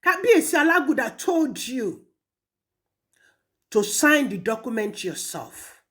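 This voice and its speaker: A woman with a Nigerian accent delivering a stern, deliberate admonishing message, repeating phrases for rhetorical weight. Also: high-pitched, sing-song, fairly bright tone. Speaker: speaker addressing a traditional ruler in a recorded message. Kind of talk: admonishing recorded audio message.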